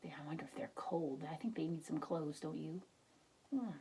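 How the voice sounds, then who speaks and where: A woman's voice: low-pitched, moderate pace, creaky, distant mic, noisy background. A woman reading a picture book aloud to children, expressively, with chatty explanatory asides. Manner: expressive, explains words and asks the listener questions. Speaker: children's storybook reader.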